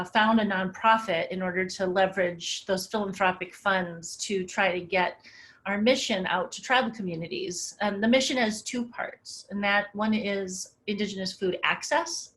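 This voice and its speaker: A woman's voice: medium pitch, fairly bright tone. Middle-aged woman talking thru her slides, chatty, lots of ums, medium voice. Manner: casual, conversational, many "um" fillers. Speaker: webinar presenter. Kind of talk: presentation over slides.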